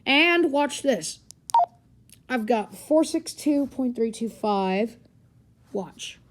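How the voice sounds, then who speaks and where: High-voiced woman, casual and rambling. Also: animated, very slow. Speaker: gadget reviewer. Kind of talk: casual hands-on demonstration. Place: carpeted living room indoors. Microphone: handheld camera or phone, speaker off screen.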